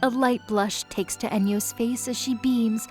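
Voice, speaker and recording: A woman's voice: fairly high pitch, fairly even intonation, measured pace. A woman narrator reading a scripted dramatic story. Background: music bed.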